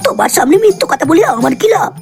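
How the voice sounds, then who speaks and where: A woman voicing a clever, sharp-tongued, foul-mouthed girl sidekick in a comic cartoon. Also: sits high, animated, very fast, creaky, faint music behind. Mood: confident. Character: sharp-tongued, clever, loyal young female gang sidekick.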